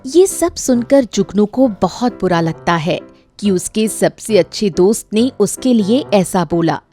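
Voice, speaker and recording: A woman's voice: medium pitch, expressive, measured pace, warm tone. A woman narrating a scripted moral story for children as the storyteller. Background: faint music.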